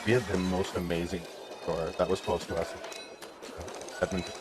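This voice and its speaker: A man's voice: sits low, level tone, normal speed. A middle-aged man chatting casually as a stream co-host, with wry, offhand remarks. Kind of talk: casual chat. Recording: noticeable background noise.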